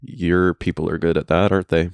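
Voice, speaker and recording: A man's voice: deep voice, little pitch movement, brisk, clean tone, no room sound. A man co-hosting a podcast in casual chat, laid-back and ribbing, with lots of "like" fillers, recorded in a friend's basement. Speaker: podcast co-host.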